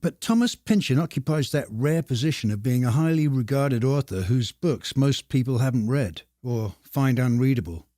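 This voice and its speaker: A man with a mellow, medium-pitched voice discusses things on a podcast. He is measured and deadpan, with slightly formal phrasing. The recording is very dry. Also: no room sound. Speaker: podcast co-host.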